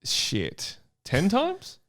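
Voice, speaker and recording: A man's voice: sits high, wildly swooping pitch, extremely slow, a bit bright. An Australian man in casual comedic chat, joking crudely and swearing freely. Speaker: podcast co-host reading fan fiction aloud.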